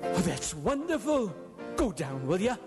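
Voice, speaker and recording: Exuberant old reformed miser, very high swooping voice, stammering, radio play in a reverberant hall. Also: male voice, sing-song, a little slow, full character voice. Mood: excited, delighted. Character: elderly miser turned giddy, joyful and generous. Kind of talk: live radio drama acting. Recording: live stage radio broadcast, long hall reverb. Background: music.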